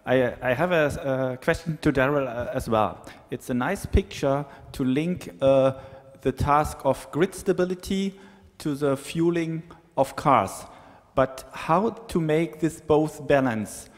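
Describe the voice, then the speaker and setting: A man in the audience questions a panelist, laying out his points one by one. His voice is slightly high. It carries the long reverb of a large hall. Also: slow pace. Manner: methodical, listing points. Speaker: audience member asking a question.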